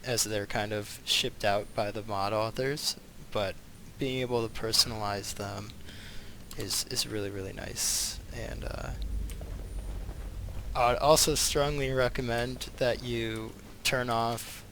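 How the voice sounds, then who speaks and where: A man giving casual live video game commentary as a streamer, rambling and thinking aloud with halting pauses. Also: fairly low pitch, steady tone, fairly bright tone, a little hiss or hum.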